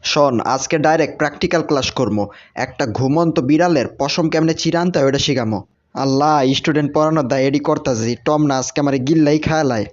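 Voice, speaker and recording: A man's voice: a little high, fast talker, faint music behind, lightly acted. A man with a Bangladeshi accent voicing a harried, scolding cartoon mouse teacher in colloquial dialect. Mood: bossy, exasperated. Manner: scolding, blunt, exasperated. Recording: muffled lo-fi audio with clear noise.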